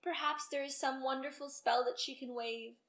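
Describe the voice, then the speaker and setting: A woman's voice: high voice, fairly even intonation, normal speed, fairly bright tone. A woman voicing a caring queen and mother in a storybook, earnest and formal. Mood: pleading.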